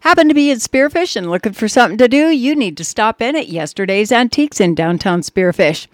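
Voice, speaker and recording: A woman's voice: fairly high pitch, expressive, a little fast, no echo, clean studio sound. Chatty woman radio co-host. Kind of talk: radio show chat and read ads.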